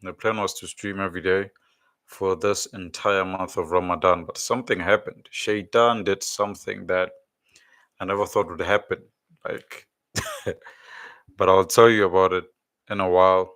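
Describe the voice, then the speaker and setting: A man's voice: low-pitched, fairly even intonation, measured pace, fairly bright tone, no echo. A man hosting a casual religious livestream, congested with a blocked nose and sore throat, rambling and repeating words as he searches for them. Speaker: livestream host.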